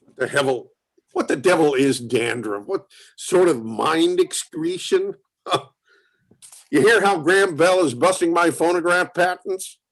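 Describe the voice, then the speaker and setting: A man playing a gruff, hard-of-hearing inventor in a play reading, folksy and cagey, with rambling, halting, swearing speech. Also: fairly high pitch, fairly bright tone. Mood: indignant, evasive. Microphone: video call.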